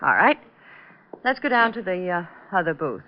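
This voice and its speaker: A woman's voice: on the low side, very animated, normal speed, a little hiss or hum. A woman playing a polite, composed young hair-treatment attendant in an old radio crime drama. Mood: polite, guarded. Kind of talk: 1940s-50s radio drama acting. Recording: dull old radio recording.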